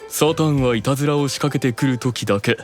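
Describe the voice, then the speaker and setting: An actor playing a proud, keenly observant demon eldest brother in an anime audio drama, explaining things with deliberate authority. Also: male voice, medium pitch, soft background music, close to an everyday voice. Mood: coolly observant.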